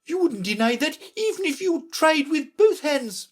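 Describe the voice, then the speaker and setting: A woman voicing a sharp, impatient, bossy queen in a children's storybook, speaking emphatically. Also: high voice, sing-song, slow, voice pushed up, exaggerated voice. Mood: indignant, severe. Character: Red Queen, sharp bossy imperious scolding royal chess piece.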